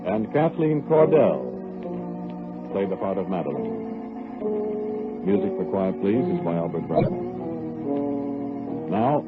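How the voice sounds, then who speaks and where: A man announcing the credits of a 1940s radio drama in a measured, formal manner. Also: low-pitched, wildly swooping pitch. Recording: tinny old radio broadcast with organ music.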